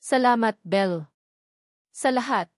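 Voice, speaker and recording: A woman's voice: a little high, slow, dry recording. A kind, hopeful young woman, read by a female storyteller, speaks with tender earnestness. She is the grateful heroine of a sentimental storybook tale. The sound is dry and close.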